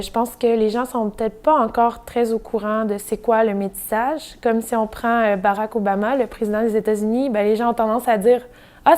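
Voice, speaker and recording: A woman's voice: fairly high pitch, a little mellow. A woman giving casual, thoughtful answers in an interview. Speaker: documentary interviewee. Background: faint music.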